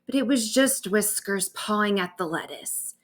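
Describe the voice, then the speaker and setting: A woman narrating a bedtime story aloud in an expressive storytelling manner. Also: medium pitch, normal speed. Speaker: author reading own stories aloud.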